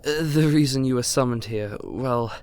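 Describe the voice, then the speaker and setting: A man's voice: medium pitch, measured pace, a little mellow, clear, resonant tone, natural voice. A man playing a polite, hesitant royal chamberlain in a fantasy audio roleplay, speaking formally and deferentially.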